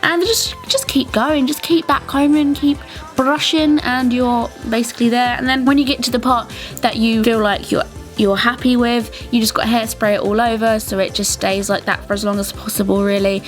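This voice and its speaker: A young woman with a British accent talks through a tutorial in a fairly high voice. She is informal and chatty, with an enthusiastic way of explaining things. Music plays clearly underneath her voice-over. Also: fairly even intonation, normal speed. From England. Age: young adult. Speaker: beauty vlogger. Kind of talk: casual makeup and hair tutorial voice-over. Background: clear background music.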